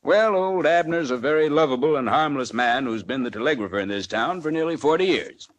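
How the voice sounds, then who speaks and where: An actor playing a small-town newspaper editor in a radio serial, reassuring yet evasive and a little condescending. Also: male voice, fairly high pitch, lively intonation, a little fast, lightly acted. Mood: hesitant, confiding. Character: smooth-talking, dismissive male small-town newspaper editor. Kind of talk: 1940s radio serial acting.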